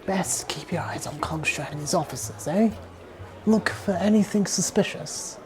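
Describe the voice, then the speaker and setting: A woman playing a suave British spy in a fan audio drama, cool and measured in manner. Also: on the low side, a little mellow, faint music behind, natural voice. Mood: cautious, assured.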